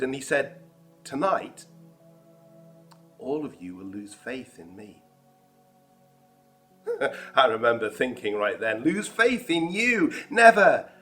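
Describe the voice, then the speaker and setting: A man playing the apostle Thomas, telling the story wryly with soft laughs. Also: medium pitch. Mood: wondering. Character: apostle Thomas, adult man, wry and doubtful. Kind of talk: first-person dramatic monologue.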